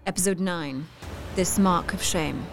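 A woman narrating a recap of a radio drama in a clear, measured way. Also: on the low side. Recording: faint music behind.

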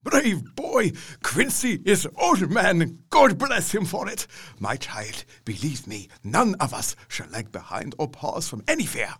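A man's voice: on the high side, very animated, unhurried, a bit bright, breathy edge, heavily acted. An actor playing an elderly professor, wise and grave, who speaks, odd word order and a solemn, fatherly manner. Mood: paternal. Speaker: Van Helsing. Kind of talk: audio drama acting.

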